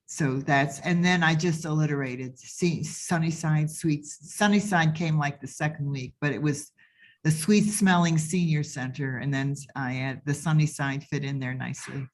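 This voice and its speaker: A woman with a low-pitched voice speaks as a workshop participant. Her delivery is a casual, conversational explanation. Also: steady tone, normal speed.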